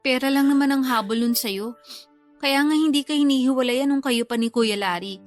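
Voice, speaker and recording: Female actor as exasperated younger sister, Filipino accent, radio drama, reproachful, fairly high voice. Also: normal speed, faint music behind, lightly acted. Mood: scolding. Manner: blunt, reproachful. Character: exasperated younger sister, blunt and scolding but sympathetic. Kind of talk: Tagalog radio drama acting.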